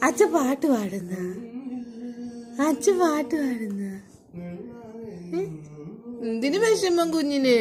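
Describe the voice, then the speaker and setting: A mother soothing her little one in gentle, repetitive baby talk, asking the same questions over and over. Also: a little high. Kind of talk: cooing baby talk to a child.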